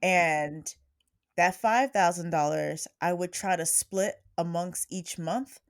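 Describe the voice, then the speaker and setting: A woman's voice: lowish voice, takes it slowly, dry recording, studio quality. A woman hosting a podcast interview, upbeat and chatty, laughing often. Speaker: podcast host. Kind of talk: casual interview chat.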